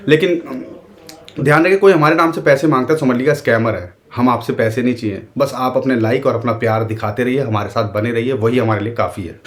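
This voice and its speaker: A man with an Indian accent explaining market charts to his viewers in casual, earnest talk, with a sore throat. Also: medium pitch. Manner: earnest, emphatic, addresses viewers directly. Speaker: crypto market commentator.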